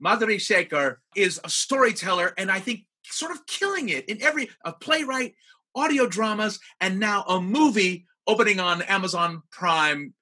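A man's voice: high-pitched, expressive, moderate pace, sharp tone, slightly rough. A man chatting casually as a podcast interviewer. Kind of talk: casual chat.

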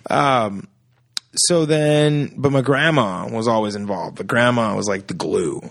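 A gravelly-voiced man in his thirties chats casually as a musician guest on a podcast. He tells stories with a lot of energy and acts out the people he quotes. His voice is recorded dry and close. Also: mid-range voice, a little slow, dry recording. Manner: animated storyteller who acts out quoted voices. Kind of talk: casual chat.

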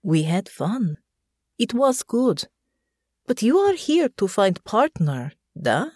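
A woman voicing a blunt, warm young commoner with a foreign accent and broken, plainspoken phrasing. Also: fairly low pitch, expressive, takes it slowly, slightly rough, no echo, clean studio sound, heavily acted. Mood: fond.

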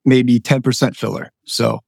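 Hoarse friendly man being interviewed. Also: medium pitch, level tone, moderate pace, darkish tone, dry recording, studio quality. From Lancaster County, Pennsylvania. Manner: friendly, enthusiastic, filler-heavy. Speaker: guest. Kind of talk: casual interview chat.

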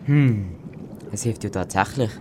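A young performer as a grateful low-voiced doctor fish. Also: measured pace, noisy background, lightly acted. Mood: mildly surprised. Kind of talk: amateur school radio play.

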